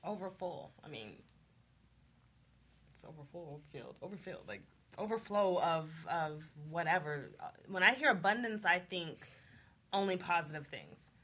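A woman's voice: on the low side, expressive, moderate pace, a bit bright, husky. A woman speaking as a guest in a casual panel discussion.

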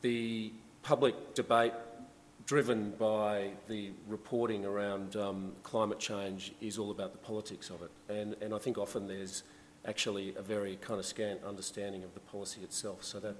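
A man's voice: on the low side, moderate pace. A man, a journalist, talking casually on a discussion panel with many fillers. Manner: relaxed, conversational, frequent fillers. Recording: long hall reverb, faint background noise.

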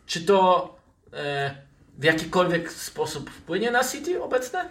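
A man's voice: sits high, very animated, a little slow, fairly bright tone. A man hosting a football discussion podcast, steering the talk with hesitant questions and asides. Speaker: podcast host. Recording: roomy, distant microphone.

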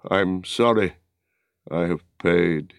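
A mortally wounded warrior, acted by a male narrator, spent and full of remorse. The words come very slowly and weakly, low and halting, in a dry fantasy audiobook recording. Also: vocal fry, no echo, heavily acted. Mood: remorseful, weak. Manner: halting, weak, slow words.

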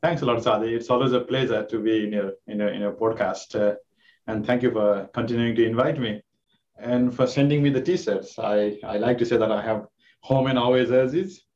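Distant Nepali doctor on a remote call. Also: male voice, medium pitch, fairly quick, echoey room, highs cut off. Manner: soft-spoken, hesitant with many fillers. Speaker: oncologist guest. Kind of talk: interview answers.

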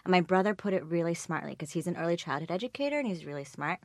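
A woman co-hosting a podcast in casual chat, frank and lively, sprinkling "like" and joking. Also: lowish voice, very fast, no room sound. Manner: lively, frank, fond of "like" and mock voices. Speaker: podcast co-host.

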